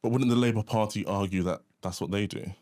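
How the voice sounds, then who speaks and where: A man's voice: on the low side, fast talker, darkish tone. A man speaking as the host of an interview podcast, introducing and questioning a guest.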